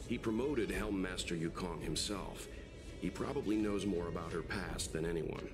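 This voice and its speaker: A man voicing a calm, reserved and considerate traveler in a video game, with a measured, courteous delivery. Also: sits low, close to an everyday voice. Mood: measured, reassuring. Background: music.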